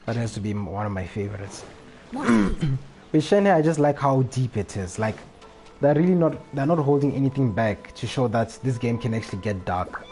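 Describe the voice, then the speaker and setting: A man's voice: medium pitch, animated, normal speed, mellow, a little hiss or hum. A man talking casually as a live game streamer, rambling and often repeating his phrases. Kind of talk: casual gaming livestream chat.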